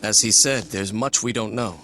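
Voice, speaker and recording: An actor playing a gentle, thoughtful knight in a video game, composed and formal in his wording. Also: fairly low pitch, normal speed, a little hiss or hum. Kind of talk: video game cutscene acting.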